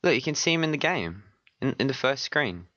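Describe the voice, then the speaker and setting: A man co-hosting a casual podcast chat, sick and partly hoarse, talking with hesitant, stammering restarts. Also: mid-range voice, big pitch swings, brisk, pushed and bright, no room sound, dull audio. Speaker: podcast co-host.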